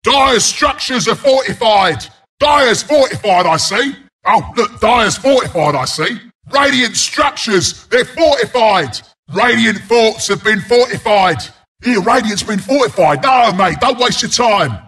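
An actor voicing a scrappy, cocky porcupine brawler as a video game announcer, with a London Cockney accent, a gravelly rasp and cheeky, blunt banter. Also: male voice, sits high, expressive, measured pace, fairly bright tone, exaggerated voice. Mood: brash announcing. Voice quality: gravelly, raspy.

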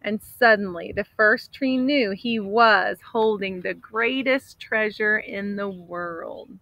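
A woman in her thirties reads a picture book aloud in an expressive, dramatic storytelling manner. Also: medium pitch, slow pace, creaky, no room sound, muffled recording. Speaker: storybook reader. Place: outdoors in an open grassy area.

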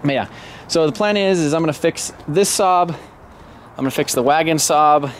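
A man's voice: fairly high pitch, moderate pace, a little hiss or hum. A man in his thirties filming himself with a handheld camera, walking around cars on an outdoor lot and rambling casually with lots of ums and restarts. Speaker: car vlogger. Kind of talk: casual walkaround vlog. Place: outdoor salvage car lot, then a garage shop. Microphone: handheld camera at arm's length.

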